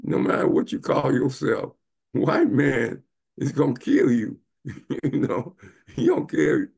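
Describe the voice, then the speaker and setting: A gravelly, husky-voiced man in his seventies hosts a talk show. He talks emphatically and drifts between points, with lots of fillers. He sounds like he is on a video call. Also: mid-range voice, huge pitch swings, slow, a little mellow. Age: 70s. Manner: emphatic, wandering, many fillers. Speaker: show host and professor. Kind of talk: casual interview talk.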